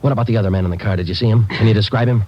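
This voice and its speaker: A dogged police detective played by a man in a vintage radio drama. He talks low and fast in terse, insistent phrases. The recording is muffled and clearly noisy. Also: close to an everyday voice. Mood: pressing. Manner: clipped, businesslike, insistent questioning. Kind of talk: 1940s-50s radio drama acting.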